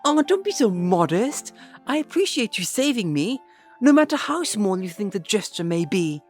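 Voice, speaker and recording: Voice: medium pitch, sing-song, moderate pace, soft background music. Actor as a lilting, formal, eager alien host. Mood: grateful. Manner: formal, precise phrasing. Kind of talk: audio drama acting.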